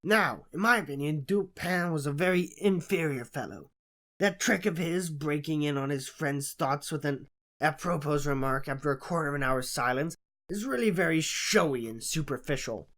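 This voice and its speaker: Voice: mid-range voice, animated, moderate pace, fairly bright tone. A narrator playing a conceited, analytical male detective in an audiobook, lecturing with self-assured disdain. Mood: dismissive. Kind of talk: audiobook character voice in classic detective story.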